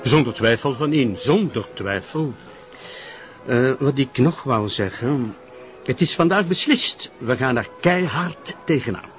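A man's voice: medium pitch, lively intonation, a little slow, over music, lightly acted. A self-assured, jovial prosecutor played by a male actor in a TV drama, speaking with a Flemish accent. He is hearty and emphatic with a wide-swinging intonation, and the sound is a little dull. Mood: firm, self-assured. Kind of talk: naturalistic TV drama dialogue.

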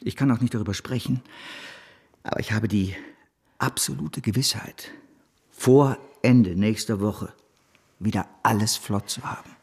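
Husky, defiant bank director. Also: male voice, on the low side, a little slow, pauses often, darkish tone. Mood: stubborn confidence. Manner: assured, persuasive, unrepentant. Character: defiant, self-justifying bank director facing ruin. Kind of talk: radio drama acting.

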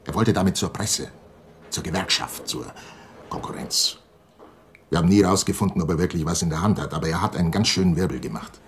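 An actor playing a curt, intimidating plant security boss, dismissive and menacing, in a radio crime drama. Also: male voice, deep voice, a little fast, slight background noise. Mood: menacing, matter-of-fact.

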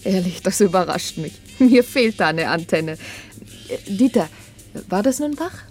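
An actress in a German radio play playing a chatty, patronizing, well-off wife with an arch manner. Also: female voice, mid-range voice, lively intonation, a little slow, a little mellow, soft background music, close to an everyday voice. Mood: condescending, amused. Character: condescending, chatty well-off wife with mocking laugh.

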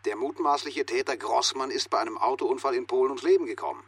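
A man's voice: mid-range voice, quick pace, slightly rough, no echo. An actor playing a harried male police inspector in a radio drama, with a brusque, official manner. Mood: guarded, impatient. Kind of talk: naturalistic radio drama dialogue.

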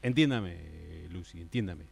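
Man acting a low-voiced, hesitant Argentine office boss. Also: animated, vocal fry, slightly rough, full character voice. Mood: exasperated. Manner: hesitant, halting, repeats himself. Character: forgetful, exasperated male office boss. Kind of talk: improvised radio play acting.

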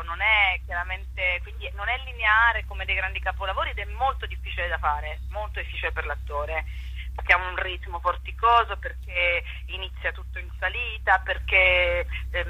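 Bright, forceful, husky woman in interview. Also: fairly low pitch, no echo, poor audio. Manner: expressive, thinking aloud and repeating words for emphasis. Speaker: theatre actress and director interviewed about her play. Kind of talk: unscripted radio interview chat.

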